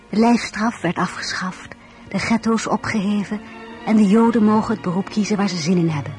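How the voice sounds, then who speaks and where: An actress playing a reflective young wife and mother, narrating her life in a measured, intimate way in a radio drama. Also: medium pitch, a little mellow, lightly acted.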